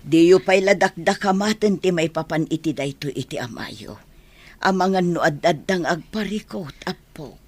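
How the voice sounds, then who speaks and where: A woman playing a gentle, worried old grandmother in a radio drama, soothing and admonishing her family. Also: on the low side, expressive, normal speed.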